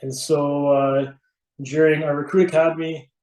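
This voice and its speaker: A middle-aged man with a fairly high-pitched voice, recorded in a clearly echoey room. He is a podcast guest, chatting casually and explaining things from his own experience. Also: little pitch movement, normal speed, a little mellow. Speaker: podcast guest, veteran firefighter and competitor. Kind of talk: casual interview talk. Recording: roomy, echoey sound.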